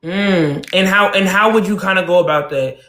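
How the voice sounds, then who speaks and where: A woman hosting a live call-in stream, her voice carrying some echo from the room around her. She keeps urging her caller on, breaking in with prompts and steady soft murmurs of agreement. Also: on the low side, normal speed. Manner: encouraging, many soft "mm" listening sounds.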